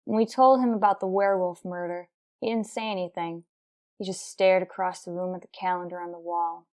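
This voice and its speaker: A woman's voice: lowish voice, fairly quick, close to an everyday voice. A woman playing a determined, businesslike police detective sergeant, narrating a radio crime drama in a clipped, matter-of-fact way. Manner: terse, deadpan, procedural. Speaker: narrator and lead actor.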